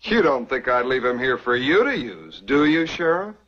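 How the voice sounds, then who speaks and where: An actor playing a slick, glib young counterfeiter with evasive, smooth talk. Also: male voice, medium pitch, very animated, moderate pace, fairly bright tone. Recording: dull old TV soundtrack with faint hiss.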